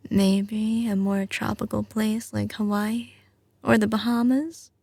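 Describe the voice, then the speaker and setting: Woman voicing a doting mafia heiress girlfriend, slight Southern drawl, gravelly fry, tender and confident, close dry sound, intimate ASMR roleplay. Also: mid-range voice, level tone, dark tone, no room sound. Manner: tender, slow and assured, often dropping to a whisper.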